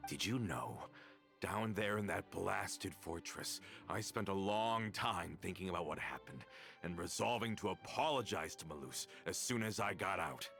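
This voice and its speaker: A gravelly, bitter middle-aged traitor. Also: male voice, deep voice, animated, normal speed, soft background music, exaggerated voice. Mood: accusing, furious. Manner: accusing, resentful, rising to shouts. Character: bitter vengeful middle-aged man, betrayer. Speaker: villain in a game cutscene. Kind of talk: dubbed game voice acting.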